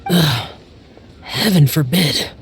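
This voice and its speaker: A man's voice: a little high, slow, vocal fry, slight background noise. A man voicing an aloof, reserved young immortal guardian in a video game, terse and formal. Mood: awkward, deferential. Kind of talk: English video game voice acting.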